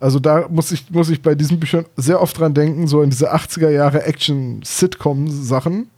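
Mellow, higher-voiced man chatting as co-host. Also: steady tone, moderate pace. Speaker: podcast co-host. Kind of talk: casual discussion of audio dramas and books.

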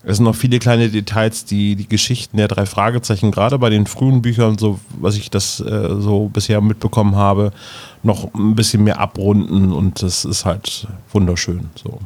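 A man's voice: on the low side, steady tone, normal speed, a little mellow. A man talking casually as a podcast co-host, discussing and commenting.

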